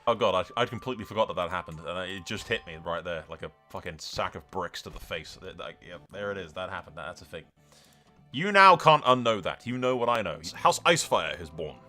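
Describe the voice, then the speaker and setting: A man's voice: deep voice, sing-song, brisk, sharp tone, soft background music. A man giving casual, improvised commentary on a strategy game playthrough, storytelling in role and swearing freely in a jokey, colloquial way. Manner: foul-mouthed, colloquial, jokey. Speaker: video game streamer narrating a playthrough.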